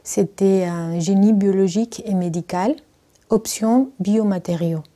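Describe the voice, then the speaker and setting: A woman's voice: medium pitch, measured pace, music in the background. A middle-aged woman from Argentina, a research engineer telling her story in a documentary interview, hesitant with many fillers. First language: Spanish.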